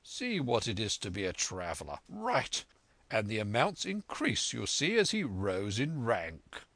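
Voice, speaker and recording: A sensible middle-aged country doctor speaks low and wry, slow and measured, as a male narrator puts him on. The tone is gravelly and deliberate. The audiobook take is dry and close. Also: huge pitch swings, fairly bright tone, dry recording, heavily acted. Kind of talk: audiobook character voice.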